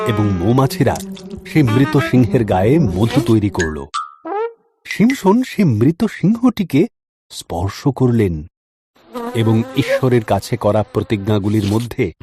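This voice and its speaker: A man narrating a scripted story in a dramatic storytelling style. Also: medium pitch. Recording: music under the voice. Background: background music.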